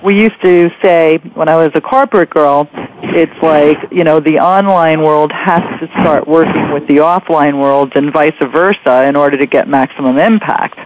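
A somewhat muffled, lo-fi radio recording of a woman with a low-lying voice. She hosts a casual interview chat, encouraging and affirming her guest in an easy conversational way. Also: normal speed, slight background noise. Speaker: radio show host and interviewer.

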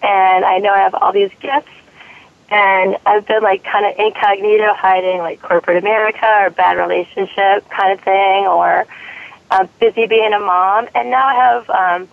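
A woman from South Carolina calling a radio show, hoarse from a cold, asking her question haltingly with many ums and likes. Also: mid-range voice, steady tone, no echo. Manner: hesitant, lots of likes and ums. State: has a cold, losing her voice. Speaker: caller. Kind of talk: phone-in question. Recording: tinny dry phone line.

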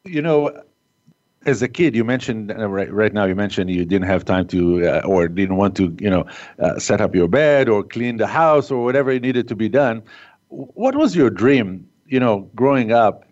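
A man hosting a business interview podcast, speaking as an in a halting way, with many fillers. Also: lowish voice, expressive, a little mellow. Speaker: podcast host. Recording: muffled lo-fi audio.

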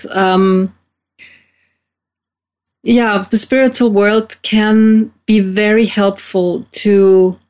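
A woman from Austria with an Austrian German accent, a co-host on a radio talk show who speaks haltingly with many ums and restarts. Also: mid-range voice, darkish tone. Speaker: co-host, self-help teacher.

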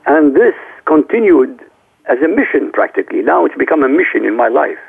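A man's voice: fairly high pitch, sing-song, brisk, creaky, breathy edge. A middle-aged man from Iraq, a native Arabic speaker, speaking emphatically in a lecturing way as a radio talk show guest. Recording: tinny phone line.

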